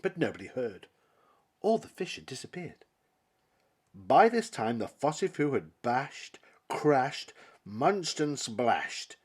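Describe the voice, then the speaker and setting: A male storyteller reads a picture book in a dramatic, theatrical style. His slightly husky voice rises and falls widely, and he takes his time, pausing for emphasis between phrases. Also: mid-range voice, sing-song. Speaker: storybook reader. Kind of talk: dramatic children's story narration.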